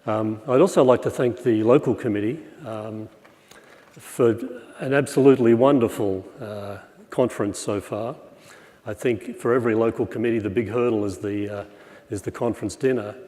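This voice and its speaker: An older man with a croaky voice, giving a wry, relaxed conference lecture on stage with many fillers. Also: fairly low pitch, normal speed. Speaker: plenary speaker. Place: large auditorium stage. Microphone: far room pickup, speaker at podium. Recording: reverberant lecture hall.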